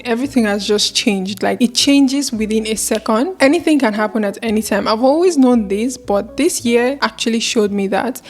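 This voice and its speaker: Chatty upbeat young woman from Nigeria. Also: on the high side. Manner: chatty and upbeat, laughs often, religious turns of phrase. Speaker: vlogger. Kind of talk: casual Q&A vlog. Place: home in Istanbul.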